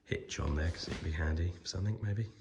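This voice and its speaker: A man with a cold, croaky and hoarse, chatting casually with lots of ums while filming a walkaround of his truck restoration in a workshop. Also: low-pitched, fast, noisy background. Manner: relaxed, many ums, trailing off.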